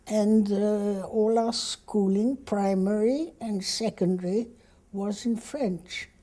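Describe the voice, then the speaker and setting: An older woman from Egypt whose first language is Hebrew, slowly and reflectively telling her life story in an oral history interview. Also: medium pitch, mellow. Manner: slow, reflective storytelling with hesitations.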